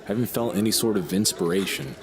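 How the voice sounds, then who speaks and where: An actor playing a jaded, egotistical horror writer in an audio drama, with a wordy, pompous, theatrical way of talking. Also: male voice, deep voice, quick pace, a crowd in the background, background noise, natural voice. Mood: despairing.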